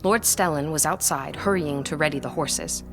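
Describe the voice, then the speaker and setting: A woman playing a composed, sceptical manor employee in a period audio drama, with a measured, formal, restrained manner. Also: low voice, music in the background. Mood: grave recounting.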